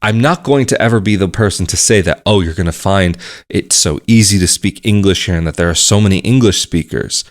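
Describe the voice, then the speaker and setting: Low-voiced man explaining at an easy pace. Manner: measured, explanatory, repeats phrases for emphasis. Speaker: vlogger. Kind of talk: casual to-camera talk.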